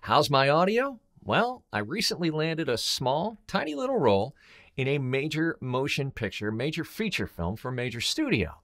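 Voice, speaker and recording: Middle-aged man, lively emphatic delivery. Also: a little high, moderate pace. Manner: emphatic, blunt, colloquial. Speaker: host, voiceover coach and voice actor. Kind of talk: scripted advice to camera.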